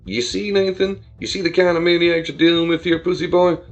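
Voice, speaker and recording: A man's voice: sits high, flat delivery, a little fast, pitched above the performer's own voice, lightly acted. A man voicing a crude, aggressive young frat-bro bully who taunts and swears. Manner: loud, swaggering, foul-mouthed taunting. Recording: dull lo-fi with faint music.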